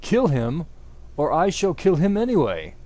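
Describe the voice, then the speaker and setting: A man voicing an evil dark lord in a story reading, commanding and menacing, with archaic speech. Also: on the high side, huge pitch swings, measured pace. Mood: evil, threatening.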